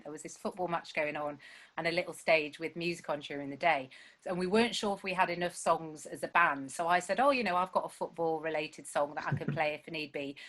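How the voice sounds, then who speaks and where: A woman's voice: lowish voice, a bit bright. A woman songwriter chatting casually as a guest, rambling and self-deprecating with lots of fillers. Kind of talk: casual chat.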